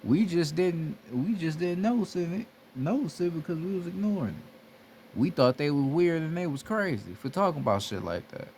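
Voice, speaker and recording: A man's voice: on the high side, lively intonation, moderate pace, darkish tone, a little hiss or hum, poor audio. A man giving a casual spiritual talk, blunt and repetitive, swearing freely as he urges listeners to wake up. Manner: blunt, repetitive, heavy profanity. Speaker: spiritual teacher. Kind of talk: casual motivational monologue.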